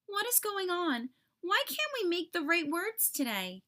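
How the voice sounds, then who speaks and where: A woman voicing small, excitable, anxious young alphabet letters from a children's storybook, exclaiming in a childlike way. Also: very high voice, unhurried, pitched above the performer's own voice, heavily acted. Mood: frustrated, worried.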